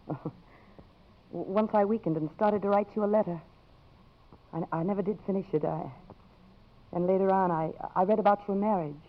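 Poised, strong-willed career woman played by an actress, middle-aged, crisp delivery, muffled old radio drama sound. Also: mid-range voice, moderate pace, long pauses, lightly acted. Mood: rueful, tender. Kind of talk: 1950s radio drama acting. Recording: muffled vintage 1950s radio recording.

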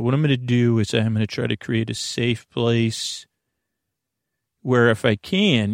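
A man with a creaky voice hosting a bedtime podcast, rambling in a lulling, halting way and often interrupting himself as he drifts into tangents. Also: medium pitch, no echo, studio quality. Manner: meandering, halting, digressive, self-interrupting; soothing, lulling. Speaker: podcast host.

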